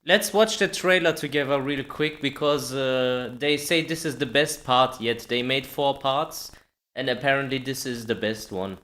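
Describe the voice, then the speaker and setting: A man's voice: medium pitch, normal speed, pushed and bright. A man hosting a livestream, chatting informally and speaking straight to his viewers. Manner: informal, addressing viewers directly. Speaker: livestream host. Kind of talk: casual chat to stream viewers.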